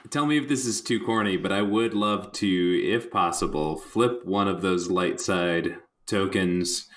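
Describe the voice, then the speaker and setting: Unhurried low-voiced male game player. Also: a bit bright. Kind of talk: casual improvised roleplay storytelling.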